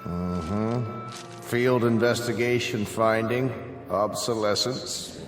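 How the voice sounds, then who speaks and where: A man's voice: on the low side, steady tone, slow pace. An actor playing a cold, bored male state chancellor, curt and officious, in an audio drama. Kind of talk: full-cast audio drama acting. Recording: echoey hall, music underneath.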